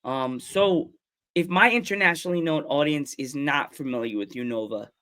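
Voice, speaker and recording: A man's voice: a little high, moderate pace, sharp tone, smooth. A man hosting a wrestling podcast, enthusiastically welcoming and interviewing guests in a casual chat. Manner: enthusiastic, repeats "awesome". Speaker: podcast host.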